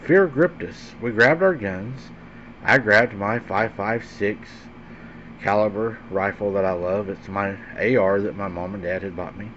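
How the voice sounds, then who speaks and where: Male horror storyteller, lowish pitch, dramatic and deliberate delivery, slightly muffled lo-fi recording. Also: a bit bright. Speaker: host and narrator of a paranormal story show. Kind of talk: dramatic scary-story narration. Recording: dull lo-fi sound with faint background hum.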